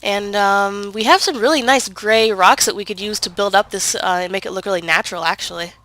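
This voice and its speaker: A woman's voice: medium pitch, a little fast, a bit bright. A woman with a head cold, sounding congested, chatting casually through gameplay with lots of fillers and self-corrections. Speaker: gaming video creator.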